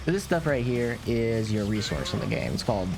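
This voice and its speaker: A man's voice: mid-range voice, very animated, brisk, gravelly, background noise. A man hosting a gaming video, explaining things in informal, casual gameplay commentary. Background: game music.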